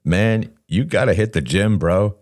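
A man's voice: on the low side, unhurried, darkish tone, no echo, studio quality, pitched above the performer's own voice, close to an everyday voice. A man voicing a brash, impatient, bossy gym-bro older brother in an audiobook story, with an expansive, decisive manner. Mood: boastful, teasing.

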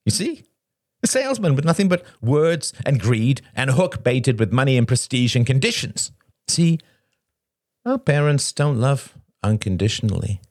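A man voicing a bitter, wounded man in an audiobook, soft-spoken but turning agitated and sardonic. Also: medium pitch, very animated, normal speed, dry recording, clean studio sound, pitched above the performer's own voice. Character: Shane, bitter wounded man lashing out at friends. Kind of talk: dramatic audiobook character dialogue.

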